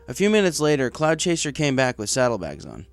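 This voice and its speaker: A man's voice: medium pitch, wildly swooping pitch, a little fast. A man reading a story aloud as a scripted narration, in the manner of an audiobook. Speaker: audiobook reader of fan fiction.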